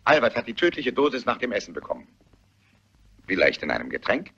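An actor playing a methodical police inspector who reasons deliberately in a German radio mystery play. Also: male voice, mid-range voice, wildly swooping pitch, fairly bright tone, husky, heavily acted. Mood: analytical. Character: probing, methodical male police inspector on a murder case. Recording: muffled, compressed radio play recording.

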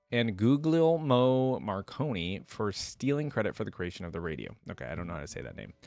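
A man's voice: fairly low pitch, darkish tone, dry recording. A young man giving casual reaction commentary, reading aloud and musing conversationally into a close desk microphone. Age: young adult. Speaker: reaction video host. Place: indoor room at a computer desk. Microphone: close desk microphone with headset on.

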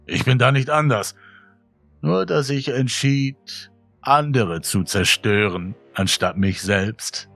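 A man voicing a sinister, smiling dealer of happiness, with a sweetly polite, slow and menacing manner in a horror story. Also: mid-range voice, gravelly, fairly bright tone, no room sound, soft background music, voice pushed up, exaggerated voice. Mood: coldly smug.

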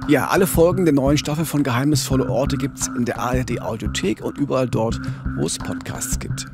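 A man's voice: medium pitch, a little fast, vocal fry. A man in his fifties with a standard German accent, co-hosting a true-crime podcast on a close studio microphone. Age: 50s. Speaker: podcast co-host. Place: small indoor recording studio. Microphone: close studio microphone on boom arm. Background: music.

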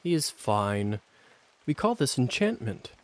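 An actor playing a suave, teasing aristocratic vampire officer in a video game, smooth and condescending. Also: male voice, mid-range voice, sing-song, takes it slowly. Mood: smug, calm. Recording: game music under the voice.

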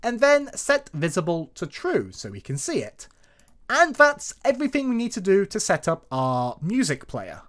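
A man presenting a software tutorial as a voice-over, explaining step by step in an informal, partly scripted way. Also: high voice, huge pitch swings, normal speed. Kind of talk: scripted programming tutorial narration with informal asides. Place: voice-over to a screen recording.

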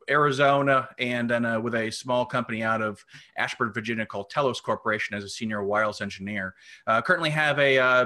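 Man in his forties, panelist, bright forceful voice, lots of uhs. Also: medium pitch. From New York state. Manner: halting with many fillers. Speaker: program director. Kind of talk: panel self-introduction. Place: home room. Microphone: webcam on video call.

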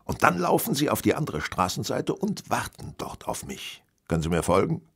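Ein Schauspieler spielt in einem Hörspiel einen selbstsicheren, berechnenden Detektiv, der bestimmt und belehrend spricht. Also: male voice, medium pitch, sing-song, creaky, a bit bright, husky, heavily acted. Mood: self-assured. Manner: self-assured, deliberate, instructive. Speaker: lead actor in an audio drama. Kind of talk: German audio drama dialogue.

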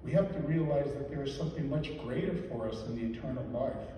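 A man's voice: mid-range voice, warm tone, recorded from a distance, a little hiss or hum. Older man preaching in echoey church. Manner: measured, earnest, slow deliberate emphasis. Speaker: deacon or priest giving a homily. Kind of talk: weekday homily. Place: large church sanctuary. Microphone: lectern mic, filmed from the back pews.

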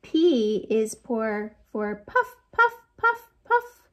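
An American woman in her forties hosting a read-aloud storytime for young children, in an animated, sing-song way with playful sound effects. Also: a little high, very slow. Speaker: children's librarian, storytime host. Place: small lamp-lit room at home.

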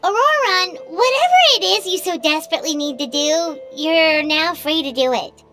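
A woman voicing a tiny, nosy, excitable childlike fairy companion in a video game, exclaiming as she talks. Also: very high-pitched, moderate pace, over music. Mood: teasing, surprised. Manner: refers to self in third person, exclamatory.

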